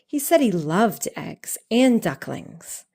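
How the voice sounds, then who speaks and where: A woman's voice: medium pitch, big pitch swings, slow pace, gravelly, dark tone. Woman reading a children's storybook aloud. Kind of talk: scripted storybook narration.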